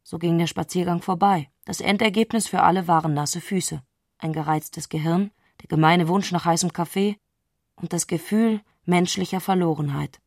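Lowish-voiced woman narrating, dry sound. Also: a little mellow, no echo. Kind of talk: literary radio drama narration.

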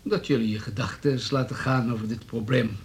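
A man's voice: medium pitch, fairly even intonation, fairly quick, a little hiss or hum, lightly acted. Condescending male politician played by an actor. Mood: matter-of-fact. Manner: smooth, businesslike, needling. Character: hard-nosed, condescending male town politician. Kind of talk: Dutch radio drama dialogue.

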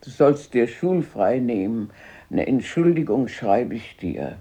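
A woman's voice: extremely low pitch, lively intonation, a little slow, warm tone, slightly rough, exaggerated voice. An actress playing a talkative, bossy but caring old grandmother in a radio drama. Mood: reassuring. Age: older. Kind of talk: 1970s German radio play acting.

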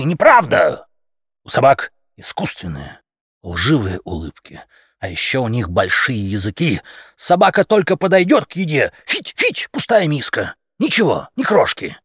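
A man's voice: medium pitch, husky, no room sound, studio quality. Man acting out pompous, emphatic tomcat demagogue. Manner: emphatic, pompous, repeats phrases with pressure. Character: pompous male cat leader, bombastic demagogue rallying kittens. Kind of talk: audiobook character acting, satirical fairy tale.

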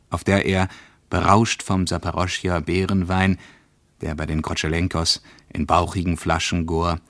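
Casting: a reflective travelling diarist in a radio play, male, very low register, measured literary reading, dry studio sound. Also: no echo. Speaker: narrator reading a travel diary. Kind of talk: scripted radio narration.

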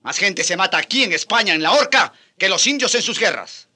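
An actor playing an aging, passionate Spanish friar in a radio drama, husky and emphatic. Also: male voice, high voice, lively intonation, a little fast, bright, forceful tone, heavily acted. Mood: indignant pleading. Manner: impassioned, emphatic.

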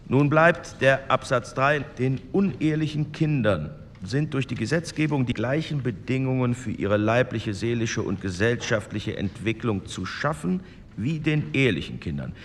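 A man playing a formal, measured chairman of a 1940s parliamentary committee in an audio drama. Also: mid-range voice, lively intonation, close to an everyday voice. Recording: long hall reverb, faint noise.

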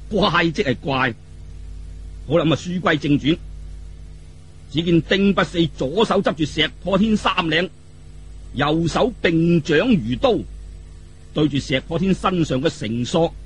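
Animated, high-voiced male Cantonese storyteller. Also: a little hiss or hum. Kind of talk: animated traditional oral storytelling, colloquial.

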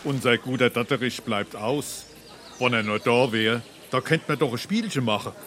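A man's voice: mid-range voice, normal speed, lightly acted. A man playing an easygoing, forgiving tavern regular with a Hessian accent in a dialect comedy. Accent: Hessian, Darmstadt dialect. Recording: crowd noise around the voice.

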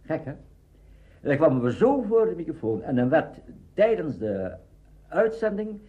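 An older man telling anecdotes as an interviewee, animated and conversational. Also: a little high, unhurried. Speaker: interviewee, veteran radio actor. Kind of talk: anecdotal reminiscence. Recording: dull archive interview recording.

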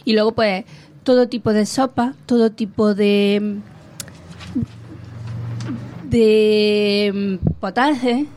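A woman's voice: medium pitch, steady tone, slow, long pauses, darkish tone, slight background noise. A woman on a casual talk show, telling food history enthusiastically and rambling a bit as she goes.

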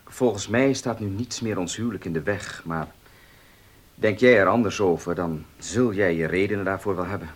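Earnest suitor, radio play, fairly deep, husky, grave, restrained. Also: male voice, moderate pace. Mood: restrained, resigned. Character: earnest, proud male suitor, stiffly restrained. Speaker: actor in a radio drama. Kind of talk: Dutch radio play acting.

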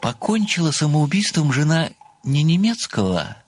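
An actor playing an earnest pensioner, an amateur detective and devoted admirer, speaking with formal, deferential precision in a theatrical audio drama. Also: male voice, a little high, sing-song, moderate pace. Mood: eager, precise. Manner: formal, deferential, precise, declamatory when enthused.

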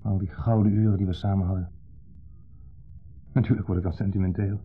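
Sentimental ex-husband, lowish pitch, halting, reflective, longing, radio play, dull lo-fi sound. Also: male voice, little pitch movement, husky. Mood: intense, brooding. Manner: halting, reflective, speaks in short fragments. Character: wistful, sentimental divorced man longing for his ex-wife. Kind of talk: 1970s Dutch radio drama acting. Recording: muffled, lo-fi 1970s radio recording.

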